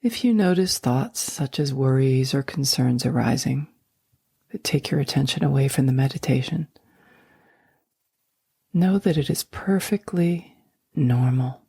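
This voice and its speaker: A low, mellow woman's voice guides a sleep meditation, soft and slow. Much of it drifts into a breathy near-whisper. The sound is close and dry, with no room around it. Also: expressive, no room sound.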